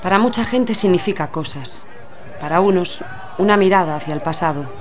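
A woman's voice: lowish voice, animated, brisk, natural voice. An actress playing a patient, idealistic mother explaining things earnestly to her child in a radio drama. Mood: earnest, hopeful. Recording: busy outdoor street crowd behind.

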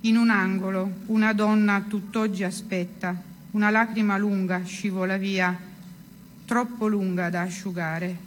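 A woman's voice: medium pitch, little pitch movement, unhurried, slight background noise. A woman giving a dramatic literary reading of first-person prose. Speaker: reader of a literary text.

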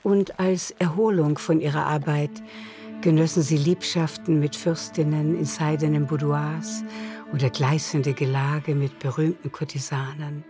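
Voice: medium pitch, steady tone, measured pace. Radio play narrator over music. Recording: music behind the voice.

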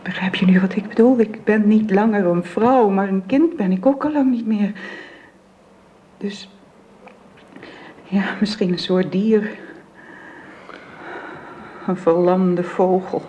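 A woman's voice: medium pitch, a little hiss or hum, close to an everyday voice. A woman playing a weary bedridden wife. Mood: resigned. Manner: soft, halting, often whispered. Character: long-ill bedridden wife, gloomy and resigned. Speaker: bedridden invalid. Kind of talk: radio drama acting. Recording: dull lo-fi old radio recording.

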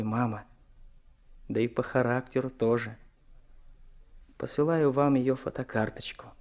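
An actor playing an earnest, proud young truck driver in a radio play, speaking plainly and deliberately with emphasis. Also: male voice, medium pitch, a little mellow, close to an everyday voice. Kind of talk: 1970s Soviet radio play acting.

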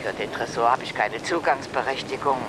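A woman's voice: extremely low pitch, fairly quick, noisy background. An actress playing an eager, chatty female museum employee in a German radio drama, getting to her point in a roundabout way. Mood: eager, hesitant.

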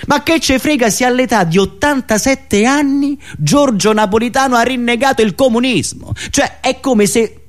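A man touched by speech, delivering a theatrical satirical political monologue in a sardonic, rhetorical manner, with deliberate pauses and repetitions. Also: very high voice. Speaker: satirical monologist, radio show host.

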